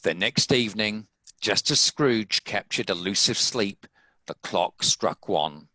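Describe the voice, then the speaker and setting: A man's voice: on the low side, lively intonation, slow pace, bright, forceful tone, no echo, rough recording. A man reading scripted story narration as the narrator of a table read.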